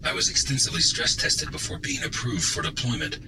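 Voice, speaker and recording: A man's voice: medium pitch, sing-song, a little fast, noisy background, close to an everyday voice. A man playing a formal, courteous artificial intelligence that serves an undersea base in a science fiction audio drama. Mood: calm, dutiful.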